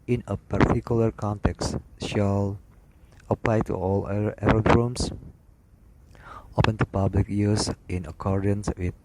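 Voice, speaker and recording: Male instructor, lowish pitch, reading formal lesson material haltingly, with heavy background noise. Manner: halting, broken phrasing with stumbles over words. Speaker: instructor reading aviation regulations. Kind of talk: read-aloud scripted lesson narration. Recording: loud background noise with clicks.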